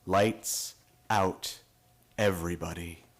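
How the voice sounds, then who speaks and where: A fairly low male voice acted as the host of an eerie radio play. He is grave and philosophical, delivering scripted introductions with measured solemnity. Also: steady tone.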